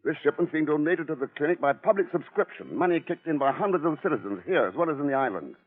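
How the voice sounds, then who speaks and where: A man's voice: on the high side, expressive, fast talker, phone-quality sound, natural voice. A man playing a determined, frustrated government agent in a 1940s radio mystery serial. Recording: band-limited 1940s radio recording, long reverb.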